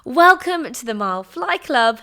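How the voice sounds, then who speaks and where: A woman's voice: high voice, very animated, moderate pace, sharp tone. A woman hosting a travel podcast, reading a playful intro and chatting with a guest. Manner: playful, bubbly. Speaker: podcast host. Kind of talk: scripted intro and interview.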